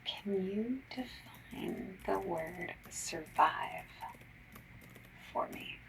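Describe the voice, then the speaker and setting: A woman doing an ASMR survey-caller roleplay, gently and politely asking questions in breathy soft speech and whispers. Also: mid-range voice, wildly swooping pitch, drawn-out words. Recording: lo-fi, noisy, slightly distant mic. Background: mechanical keyboard typing.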